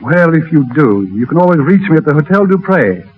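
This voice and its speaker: Smooth american gentleman in an old radio play, animated delivery, noisy muffled sound. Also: on the high side, a little fast. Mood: gallant, suave. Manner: assured, gallant. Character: suave, confident, helpful gentleman traveller. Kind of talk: 1930s radio acting. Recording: dull, noisy 1930s radio recording.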